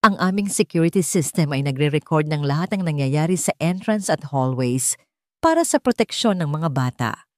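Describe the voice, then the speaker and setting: A woman's voice: low voice, lively intonation, normal speed, darkish tone, dry recording. A composed woman daycare director with a Filipino accent, played by a woman narrator. She sounds professional and assured, helpful in an audio story, recorded dry. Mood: firm, assured.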